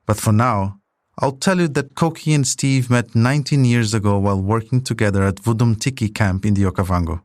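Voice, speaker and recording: A man's voice: lowish voice, fairly quick, darkish tone, no echo, studio quality. A man hosting a podcast, reading scripted documentary narration as a storyteller. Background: music.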